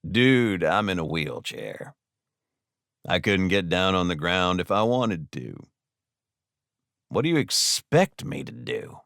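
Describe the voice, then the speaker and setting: A wry middle-aged man , as a male narrator plays him, unflappable and deadpan. His bright, dry-recorded voice gives calm, smart-alecky replies in an audiobook thriller. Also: low-pitched, slow, gravelly, pauses often, dry recording, full character voice.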